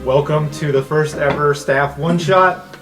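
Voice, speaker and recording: A man working as game master, telling an improvised fantasy scene dramatically with deliberate pauses. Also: a little high, steady tone. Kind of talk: improvised scene-setting narration for a tabletop game. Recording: background music under the voice.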